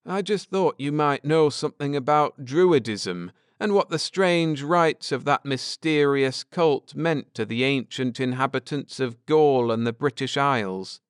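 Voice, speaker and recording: A man voicing a grim, intense space-travelling husband in an audiobook, lecturing gravely and earnestly with drama. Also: medium pitch, a little slow, few pauses, no echo, studio quality, pitched above the performer's own voice, lightly acted. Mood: grave, explaining.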